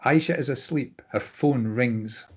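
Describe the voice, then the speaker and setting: A man narrates stage directions for a script table read. His voice is husky and somewhat rough, sitting at a middle pitch. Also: measured pace.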